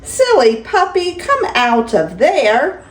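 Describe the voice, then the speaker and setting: A cheerful little girl from a picture book, voiced by a grandmother reading aloud, calling to her puppy in a high, lilting, sing-song voice. She lingers on every word, very slowly. The sound carries a roomy echo. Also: wildly swooping pitch, heavily acted. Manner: bright, coaxing, sing-song.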